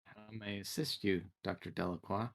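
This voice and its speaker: A man voicing a polite, literal female android assistant in a tabletop game, speaking in a calm, formal, measured way. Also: low-pitched, creaky.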